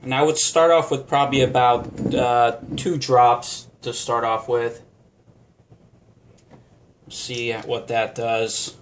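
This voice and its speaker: A man's voice: medium pitch, flat delivery, slow, a little hiss or hum. A man giving a casual how-to demonstration at a home bar counter, talking unhurriedly with many fillers. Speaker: vlogger.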